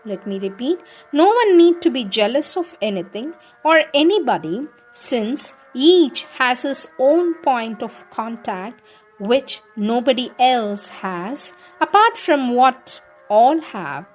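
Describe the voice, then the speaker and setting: Woman w a slightly high voice reading devotional spiritual stuff out loud, deliberate, faint music behind her. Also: big pitch swings. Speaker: reader of spiritual teachings. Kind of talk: devotional reading aloud of letters, questions and answers. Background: music in the background.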